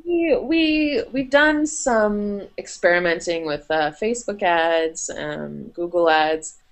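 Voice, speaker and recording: A woman's voice: on the low side, animated, takes it slowly. A young woman from Canada, chatting upbeat as a guest on a podcast interview, with many fillers. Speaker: content marketing manager, interview guest.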